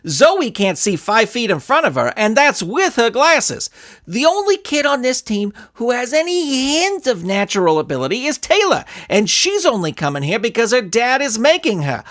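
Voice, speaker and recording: A man voicing an irate, bitter soccer coach in a story, ranting with loud, sarcastic exasperation. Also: very high-pitched, sing-song, normal speed, voice pushed up, exaggerated voice. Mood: exasperated ranting. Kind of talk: dramatised audiobook reading.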